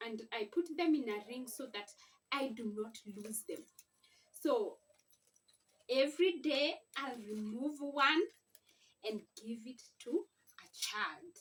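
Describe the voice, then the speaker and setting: Female instructor for home-learning video: high voice, unhurried explanatory delivery, rhetorical questions, recorded a little away from the mic. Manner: deliberate, sounds out letters and words, asks rhetorical questions. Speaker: teacher giving a home reading lesson. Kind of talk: informal instructional explanation to parents. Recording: slightly distant microphone.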